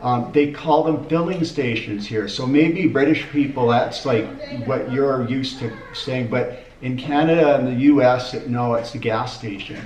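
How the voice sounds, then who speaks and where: A man's voice: mid-range voice, a little slow, hardly any pauses, darkish tone. A middle-aged man chatting casually as co-host, wry and hesitant, often restarting his sentences. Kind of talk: casual chat. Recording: dull echoey room, mic at a distance.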